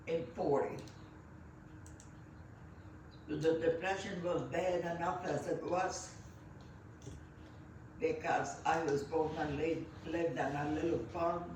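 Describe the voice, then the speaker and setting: A very old woman from Belgium speaks in English, taking her time and pausing often between thoughts. Her voice sits back from the mic in a strongly echoing room. Faint background noise runs under her words. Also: low voice, a little mellow. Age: 90s. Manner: unhurried, halting, many fillers and restarts. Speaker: interviewee. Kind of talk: reminiscing storytelling. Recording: echoey room, voice set far from the mic.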